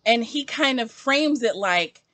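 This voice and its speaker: Twenty-something woman vlogging her opinions, bright voice that jumps all over, says like a lot. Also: a little high, measured pace. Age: 20s. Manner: animated, emphatic, heavy use of "like". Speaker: book vlogger. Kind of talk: casual opinion vlog.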